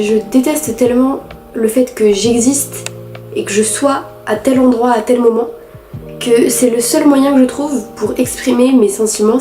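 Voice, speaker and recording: A teenage girl with a head cold, talking nasally through a candid, halting confessional vlog. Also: fairly high pitch, mellow, echoey room. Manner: halting, candid, many restarts and fillers. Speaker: vlogger.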